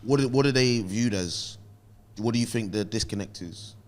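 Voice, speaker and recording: A young man with a London accent, a guest comedian in relaxed conversation, laid-back and quick to laugh. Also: fairly low pitch. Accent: London English. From England. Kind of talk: casual chat.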